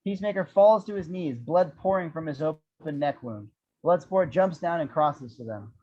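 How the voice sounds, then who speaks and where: A man with a fairly high voice reads screenplay action as narrator, tossing in wry, irreverent asides. The recording is dull and lo-fi, and the delivery is that of an amateur table read of an action script. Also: animated, moderate pace, darkish tone. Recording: dull, lo-fi audio.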